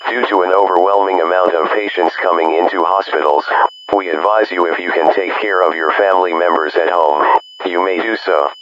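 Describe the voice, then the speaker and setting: A man playing a formal emergency alert announcer, reading a measured scripted warning. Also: sits low, steady tone, lightly acted. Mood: formal, grave. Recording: muffled radio alert with faint hum.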